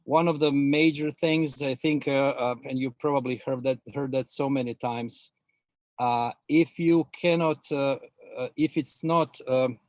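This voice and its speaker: A man's voice: medium pitch, steady tone, a little slow, a little mellow, dry recording. A middle-aged man from Croatia with a Croatian accent, talking as a guest expert on a video call, hesitant and full of filler words. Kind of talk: webinar interview, explaining his background.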